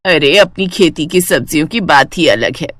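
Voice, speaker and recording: Blunt old cartoon mother-in-law played by a woman, homely, pitched down, dry sound. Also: expressive, moderate pace, no room sound. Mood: delighted, chatty. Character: elderly village-born mother-in-law, blunt and grumbling.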